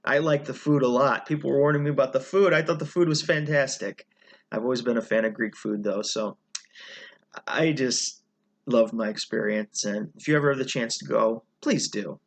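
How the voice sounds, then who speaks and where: A man's voice: mid-range voice, moderate pace, vocal fry. Easygoing American man chatting about travel. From United States. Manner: relaxed, rambling, with fillers and self-corrections. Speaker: channel host. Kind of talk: casual travel vlog chat.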